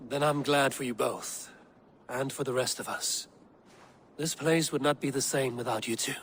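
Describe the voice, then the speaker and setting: A man acts out a sombre Viking warrior leader in game dialogue. The voice is medium in pitch and speaks plainly, with measured resolve. Faint background noise sits behind it. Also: fairly even intonation, a bit bright, natural voice. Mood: sincere. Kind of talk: video game dialogue acting.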